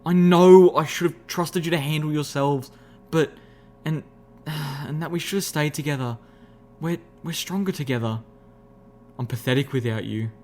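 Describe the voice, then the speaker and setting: A man voicing an anxious, apologetic little female pony, halting and pleading, in an audiobook story. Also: fairly high pitch, a little mellow, voice pushed up, lightly acted. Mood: desperate, apologetic.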